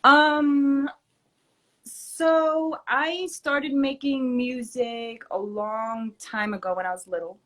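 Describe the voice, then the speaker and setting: A young woman, a rapper born in Germany to Turkish parents and raised in the US, talking casually in an interview with lots of fillers and quick laughs. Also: high voice, steady tone, a bit bright. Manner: chatty, laughs often, many fillers. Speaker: rapper and entrepreneur, guest.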